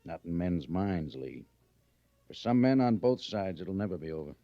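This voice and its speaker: An actor playing a thoughtful, shrewd senior army major in an old radio western, deliberate and gently wry. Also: male voice, low-pitched, very animated, no room sound, faint music behind. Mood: musing. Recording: muffled vintage radio recording.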